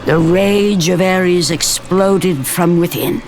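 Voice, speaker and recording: Grave male game narrator. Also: high-pitched. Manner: grave, measured storytelling. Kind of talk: dramatic scripted narration. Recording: loud music score behind.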